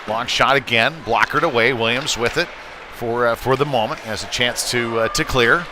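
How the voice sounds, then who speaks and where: Male hockey announcer, mid-pitched, unscripted and halting play-by-play, noisy live rink recording. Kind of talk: live sports commentary.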